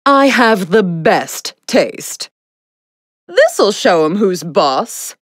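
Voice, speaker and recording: A woman's voice: medium pitch, sing-song, drawn-out words, husky, dry recording, studio quality, heavily acted. A woman voicing a vain, imperious yet playful young sun goddess for a video game, with a pompous, theatrical, condescending delivery. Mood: haughty, smug. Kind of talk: video game character voice lines.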